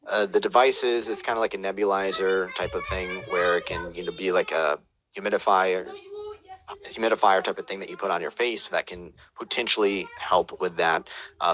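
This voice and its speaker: A man's voice: deep voice, a bit bright. A man teaching audiobook production, reading out viewer questions and answering them in a casual, hesitant way with many fillers and restarts.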